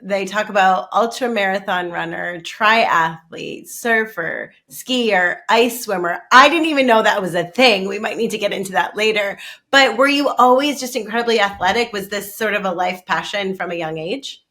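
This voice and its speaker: A woman's voice: mid-range voice, normal speed, pushed and bright. A woman hosting a podcast interview, enthusiastic and chatty, who laughs easily. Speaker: podcast host. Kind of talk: casual interview chat.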